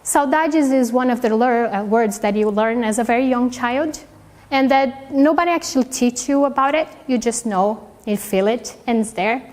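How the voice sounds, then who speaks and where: A woman's voice: on the high side, normal speed, a little mellow. A young woman from Brazil, a native Portuguese speaker with a Brazilian accent, giving an earnest, heartfelt prepared speech with small grammar slips. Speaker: PhD student giving a speech. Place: hall with a live audience. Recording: reverberant hall.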